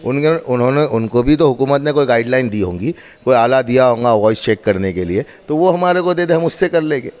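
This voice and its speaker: A man's voice: medium pitch, expressive, a little fast, few pauses, a little mellow. Man explaining, instructive and emphatic. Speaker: mosque representative demonstrating loudspeaker equipment. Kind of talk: spoken demonstration and explanation.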